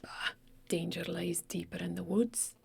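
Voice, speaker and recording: A woman voicing a gruff Scottish male warrior in a whisper with a Scottish accent. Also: on the low side, little pitch movement, measured pace, a little mellow, slight background noise.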